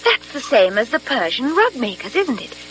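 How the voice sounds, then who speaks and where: An actress playing an eager, chatty British secretary in an old-fashioned radio drama, breezy and talkative. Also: female voice, high-pitched, big pitch swings, moderate pace, fairly bright tone. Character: eager, chatty, helpful young female secretary to a doctor.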